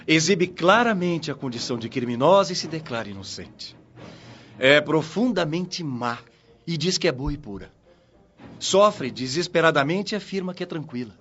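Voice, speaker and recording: Stern, high-pitched, declamatory watchman. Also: a bit bright, dull audio. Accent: Brazilian. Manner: firm, declamatory, moralizing. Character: stern, righteous male chief watchman of a spirit colony. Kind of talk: Brazilian radio drama acting.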